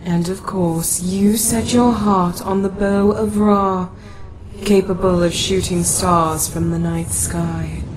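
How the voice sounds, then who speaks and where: A woman voicing a calm, wise, motherly goddess in a motion comic, measured and stately. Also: fairly low pitch. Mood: serene, firm. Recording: clearly noisy background.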